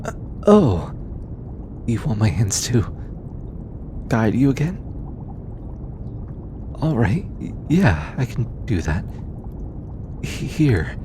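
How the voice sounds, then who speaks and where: A gentle, flustered alien scientist played by a young man speaks close and personal to the listener in an audio roleplay. The delivery is slow and hesitant, with stammers and an animated, swinging melody. A clear rumbling noise sits behind the voice. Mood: gentle, guiding. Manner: hesitant, stammering, soft-spoken.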